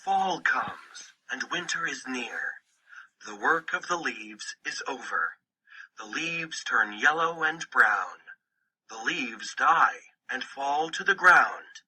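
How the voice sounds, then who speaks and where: Guy reading a kids picture book slow n deliberate, really sharp bright voice, animated pitch. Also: breathy edge, poor audio. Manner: deliberate, storytelling phrasing in short sentences. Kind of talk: scripted children's picture book narration.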